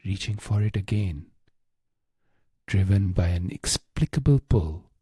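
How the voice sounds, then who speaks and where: Slow, low, husky male meditation guide. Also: mellow, dry recording.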